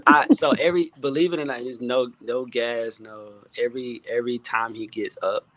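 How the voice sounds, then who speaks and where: A young adult man chatting casually as an interview guest, earnest and halting, often repeating his phrases. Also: medium pitch, steady tone, a bit bright, rough recording. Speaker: interview guest, music producer. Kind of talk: casual chat.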